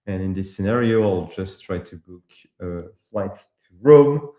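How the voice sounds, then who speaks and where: A man from France with a French accent, casually walking through a live software demo, with many pauses and restarts. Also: low voice, animated, roomy sound. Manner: casual, hesitant with frequent uh and restarts. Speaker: presenter demoing apps. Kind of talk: live tech demo walkthrough.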